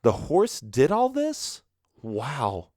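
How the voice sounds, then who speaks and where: A man reading a story aloud, voicing a defiant, hot-tempered teenage girl in a raised, shrill voice. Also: huge pitch swings, drawn-out words, a little mellow, no echo, clean studio sound, full character voice. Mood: shocked.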